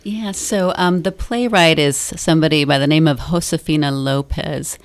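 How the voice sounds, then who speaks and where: Middle-aged female interview guest, deep register, sincere conversational delivery that reworks its phrasing. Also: fairly even intonation, moderate pace. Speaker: stage play director and university teacher, interview guest. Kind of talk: conversational radio interview talk. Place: radio studio.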